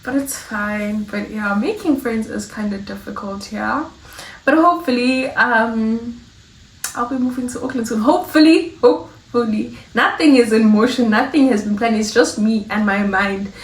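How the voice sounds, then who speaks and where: A woman's voice: on the high side, normal speed, echoey room, far from the mic, poor audio. A woman in her twenties from South Africa, chatting casually to her viewers in a rambling, laughing way. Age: 20s. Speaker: vlogger. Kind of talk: casual chat to camera.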